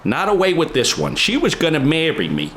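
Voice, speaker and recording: A man's voice: on the high side, huge pitch swings, fairly quick, a bit bright, slight background noise, voice pushed up. Man putting on a melodramatic, foul-mouthed jilted avenger. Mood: bitter, brooding. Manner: profane, melodramatic. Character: crude, jilted, vengeful man narrating his own tale. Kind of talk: improvised parody of old time radio drama.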